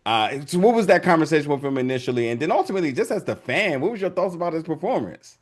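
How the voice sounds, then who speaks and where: A man's voice: fairly high pitch, lively intonation, fast talker. A young man hosting a casual video interview, talking loosely with many restarts and fillers.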